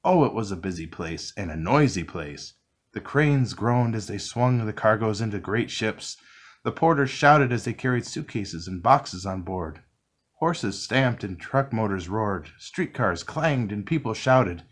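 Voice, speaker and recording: Low-voiced man reading a storybook expressively. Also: lively intonation, measured pace. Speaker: storybook reader. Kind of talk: children's read-along narration.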